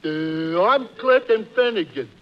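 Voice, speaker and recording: A man's voice: high voice, lively intonation, measured pace. An actor playing a dim-witted, earnest, hapless man with a dopey, hesitant way of talking, in 1940s radio comedy style. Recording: dull, noisy 1940s radio recording.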